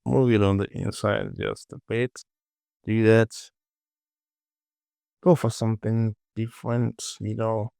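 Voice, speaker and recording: A man giving a casual software tutorial, talking through his work in short, informal instructions as he goes. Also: fairly low pitch, little pitch movement, slow, creaky, pauses often, clean tone, no room sound, studio quality. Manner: informal, muttered short instructions to self and viewer. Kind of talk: casual screen-recorded software tutorial, talking while working.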